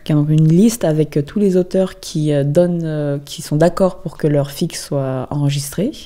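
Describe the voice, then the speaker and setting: A woman host chatting casually to her listeners. Her voice sits low and is very soft-edged and dark, with a relaxed conversational delivery. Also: moderate pace. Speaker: host of a fanfiction channel.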